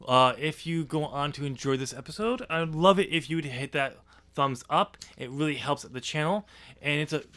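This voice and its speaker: A man's voice: a little high, moderate pace, bright, forceful tone. A man chatting casually and unscripted as a podcast host, with a jokey, self-deprecating manner.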